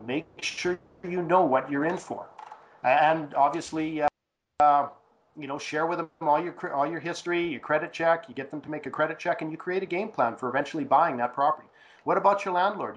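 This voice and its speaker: A man with a hoarse, gravelly voice from a cold, explaining things steadily over a phone call and asking rhetorical questions. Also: on the high side. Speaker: real estate guest expert.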